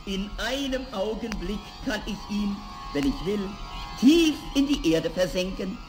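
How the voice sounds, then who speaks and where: An actor playing a small shaggy devil in a 1960s fairy-tale radio play, sly, gloating and hot-tempered in a theatrical way. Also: male voice, sits high, expressive, a little slow, echoey room, music in the background. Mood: sly, boastful.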